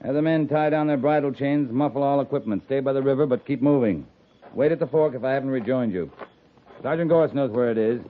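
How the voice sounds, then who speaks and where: An American man playing a seasoned, calmly stern cavalry captain in a 1950s radio drama, speaking in a measured, clipped, authoritative way. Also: mid-range voice, natural voice. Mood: brisk, commanding. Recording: muffled, noisy 1950s radio recording.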